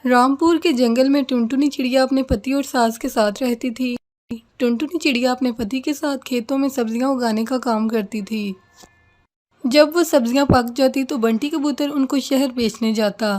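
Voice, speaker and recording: High-pitched woman narrating a cartoon story. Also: normal speed, darkish tone.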